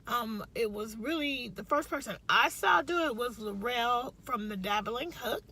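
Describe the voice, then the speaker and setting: A woman vlogs from the driver's seat of a parked car, her voice bright and a touch high. She rambles cheerfully and laughs easily. A leftover cough lingers in her speech. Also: expressive, measured pace, slightly rough, rough recording. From Chicago, US Midwest. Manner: chatty, rambling, laughs often. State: slight cough, recovering voice. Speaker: craft vlogger. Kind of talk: casual chat to camera.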